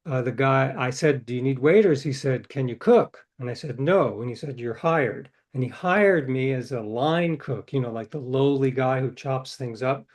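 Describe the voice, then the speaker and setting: A man's voice: mid-range voice, normal speed, hardly any pauses, a little mellow. Rambling older man telling stories. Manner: rambling, self-correcting, acts out quoted dialogue. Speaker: interview guest, novelist. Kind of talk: casual storytelling.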